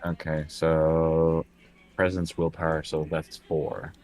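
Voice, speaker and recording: A man's voice: rumbling low, completely flat delivery, slow, a little mellow. A man chatting casually as a player in a tabletop roleplaying game.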